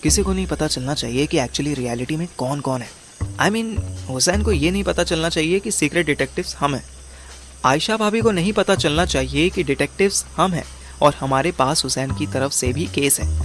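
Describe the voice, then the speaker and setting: A man's voice: fairly high pitch, moderate pace, faint music behind, lightly acted. A man narrating and playing a scheming, overweight amateur detective in an audio drama, with a wry, colloquial manner. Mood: conspiratorial. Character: overweight, scheming male amateur detective telling his story. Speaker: narrator and lead character.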